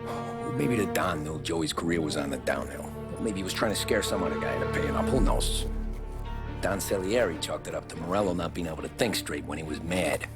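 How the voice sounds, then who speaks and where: An actor playing a tough, streetwise mobster who recounts his past with laconic calm. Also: sits low, fairly quick. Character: seasoned male mobster telling his story. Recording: game cutscene with music.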